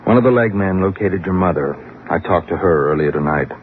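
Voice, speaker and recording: An American actor playing a wry, hard-boiled but sympathetic newspaper reporter in a vintage radio drama, with dry, conversational delivery. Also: male voice, deep voice, a little fast, slight background noise, lightly acted. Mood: gentle, low-key. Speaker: actor playing narrator and lead. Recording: muffled vintage radio recording with music.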